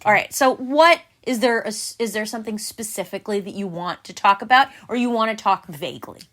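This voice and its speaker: A woman in her forties co-hosts a casual podcast with her husband. She talks in a bubbly, playful way, and her voice is bright and forceful. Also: mid-range voice. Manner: bubbly, playful, quick to laugh. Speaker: podcast co-host. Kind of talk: casual chat.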